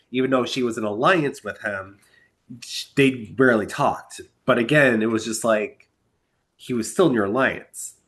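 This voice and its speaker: A young man hosting a solo podcast, talking through a reality show's week in a rambling, exasperated way while stuffed up from a cold. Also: medium pitch. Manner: rambling, animated, exasperated asides. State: congested from a cold. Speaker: podcast host. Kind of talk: solo recap commentary.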